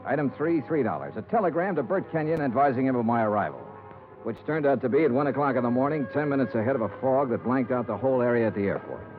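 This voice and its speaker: A man's voice: medium pitch, fast talker, music in the background, lightly acted. A man acting a hard-boiled, world-weary insurance investigator in a 1950s radio detective drama, wry, clipped and matter-of-fact. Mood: dry narration. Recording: tinny, band-limited 1950s radio recording.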